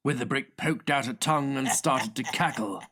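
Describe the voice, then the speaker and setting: Male audiobook narrator, medium pitch, theatrical storytelling, scripted comic fiction. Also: normal speed, a bit bright, slightly rough.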